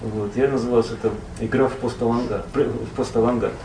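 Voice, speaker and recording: A man from Russia, a poet and translator, recalls his past as a guest in a relaxed, rambling conversation full of fillers and self-corrections. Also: medium pitch, brisk, reverberant, distant mic, background noise.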